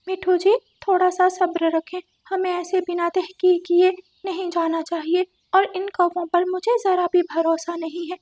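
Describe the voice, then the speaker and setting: A woman's voice: extremely high pitch, level tone, moderate pace, few pauses, darkish tone. A woman voicing a cautious, distrustful female parrot in a children's cartoon, wary and admonishing. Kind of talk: Hindi children's cartoon dialogue.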